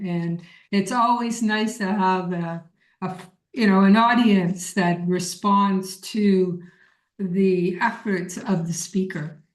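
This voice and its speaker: A woman with a middle-pitched voice leads an informal online workshop. She takes questions at a slow pace, encouraging and repeating words for emphasis. The room around her is echoey. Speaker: workshop leader. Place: indoor room on a video call.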